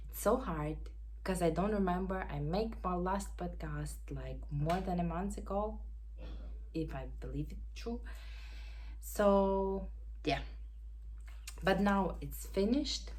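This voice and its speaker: A woman with a Ukrainian accent hosting a knitting podcast from home, chatting casually and pausing to search for words in English. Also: on the low side, darkish tone. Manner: unhurried, searching for words, non-native grammar. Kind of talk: casual show-and-tell chat. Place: home in Denmark. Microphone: camera.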